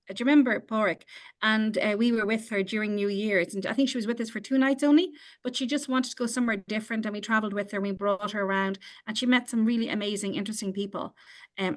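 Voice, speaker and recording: Fast-talking woman host. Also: medium pitch. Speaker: travel company owner and host. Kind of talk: webinar presentation.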